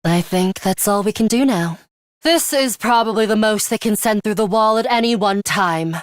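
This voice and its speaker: A woman playing a stern, ruthless young female fighter in a video game, speaking in a terse, measured and commanding manner. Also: mid-range voice, no echo, clean studio sound, close to an everyday voice. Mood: cool, analytical. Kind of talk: scripted video game voice acting.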